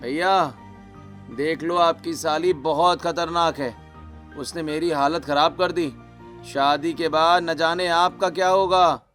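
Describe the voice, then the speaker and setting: A man voicing an excitable, hapless young man in a cartoon story, complaining dramatically. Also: a little high, slow pace, faint music behind. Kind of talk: Hindi cartoon story acting.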